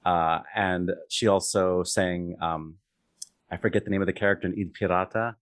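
Deep-voiced male host, rambling questions. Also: fairly even intonation, moderate pace, no echo, clean studio sound. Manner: chatty, rambling questions with many fillers. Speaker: podcast host. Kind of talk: conversational interview.

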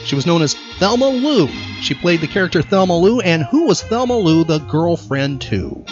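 Higher-voiced man hosting trivia over music. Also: very animated, moderate pace. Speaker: podcast host. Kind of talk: trivia quiz hosting and casual chat. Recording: slightly dull audio, music behind. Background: background music.

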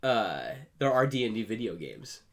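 A man's voice: fairly low pitch, big pitch swings, moderate pace. A man co-hosting a podcast in casual chat, hedging as he goes with plenty of "like" and "I mean". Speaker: podcast co-host.

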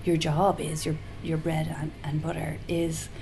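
A soft-spoken woman being interviewed as a singer-songwriter, talking in a casual, hesitant way with frequent restarts. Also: low voice, monotone, measured pace, vocal fry. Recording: noticeable background hiss.

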